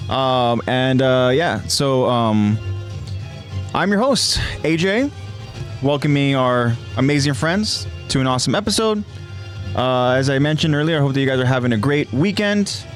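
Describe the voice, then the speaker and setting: A man's voice: medium pitch, animated, normal speed, soft background music. A man hosting a live podcast, talking in a casual, effusive way full of fillers and restarts. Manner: effusive, rambling with many fillers. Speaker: podcast host.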